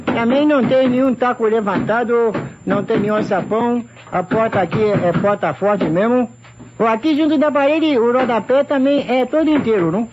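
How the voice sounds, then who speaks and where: A man's voice: extremely high pitch, muffled recording, noisy background. A man playing an eager, deferential Japanese police assistant in broken phrasing, a comic sidekick in an old radio drama, on a thin recording. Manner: halting, broken phrasing, obsequious.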